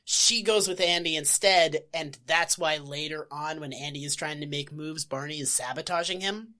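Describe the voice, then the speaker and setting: Woman, podcast co-host, crisp bright voice, lowish pitch, teasing and profane. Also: moderate pace. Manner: teasing, swears freely. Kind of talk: casual comedic chat.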